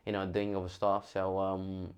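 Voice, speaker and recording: A young Brazilian man with a Brazilian Portuguese accent, a fighter telling his story in a casual interview, speaking haltingly with many restarts. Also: low voice, monotone, clear, resonant tone.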